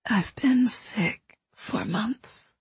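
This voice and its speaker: A woman voicing a weak, dying woman mentor who speaks in a faint, breathy whisper, struggling for breath. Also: a little high, drawn-out words, no room sound, pitched above the performer's own voice, exaggerated voice, poor audio. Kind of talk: audiobook character voice.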